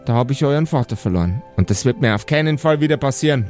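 A man's voice: medium pitch, animated, fast, a little mellow, natural voice. A man voicing a doting but fierce dwarf mother in an audio play, folksy and determined. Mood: grimly determined. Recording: music behind the voice.

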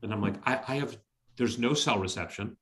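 A middle-aged man with a loud voice, hosting a podcast and telling an anecdote in casual chat, exclaiming and acting out the dialogue as he goes. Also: lowish voice, fairly quick. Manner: exclamatory, acts out dialogue in his stories. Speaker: podcast host.

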